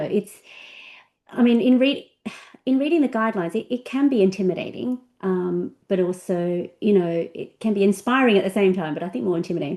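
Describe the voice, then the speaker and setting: A woman hosts an interview over a video call in a mid-range voice. She is upbeat and effusive, and her praise for her guest spills out quickly. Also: mid-range voice, a little mellow. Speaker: interview host and visa coach.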